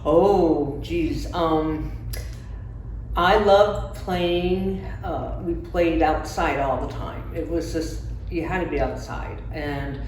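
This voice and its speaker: A woman's voice: low voice, takes it slowly, darkish tone. An older woman giving a casual interview, reminiscing in a conversational way as an office manager. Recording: echoey room, distant mic, faint noise.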